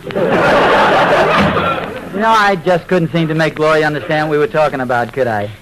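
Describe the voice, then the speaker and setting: An actor playing an earnest, mild-mannered, slightly folksy husband in a 1940s radio sitcom. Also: male voice, on the high side, lively intonation, moderate pace, a bit bright. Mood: mild, explanatory. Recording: noisy vintage radio recording.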